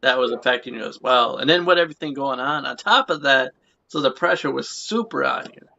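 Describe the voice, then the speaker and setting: A man's voice: mid-range voice, animated, fairly quick, vocal fry, bright, forceful tone. A man in his late twenties hosting a casual interview, rambling and repeating his phrases. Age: late 20s. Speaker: podcast host. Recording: muffled lo-fi audio.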